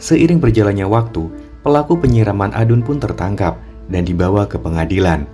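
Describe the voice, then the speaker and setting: A man narrating a cartoon drama in a steady, even way. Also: fairly low pitch, a little fast, faint music behind. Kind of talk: scripted storytelling narration.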